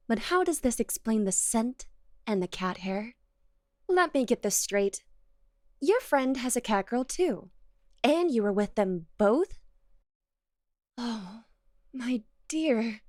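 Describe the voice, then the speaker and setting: A woman's voice: on the high side, lively intonation, slow, pauses often, darkish tone, no echo, studio quality. A woman playing a jealous, possessive young cat girl girlfriend in an ASMR roleplay, speaking to the listener in a coy, accusing yet affectionate way. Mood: suspicious, probing.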